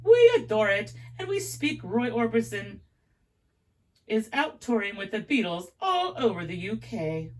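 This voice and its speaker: A woman's voice: a little high, sing-song, a little slow, recorded from a distance, voice pushed up. A woman voicing a gossipy, genteel English music promoter in a put-on English accent that she calls poor, read aloud from a novel. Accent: put-on English accent, self-described as poor. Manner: genteel, gossipy, a bit pompous.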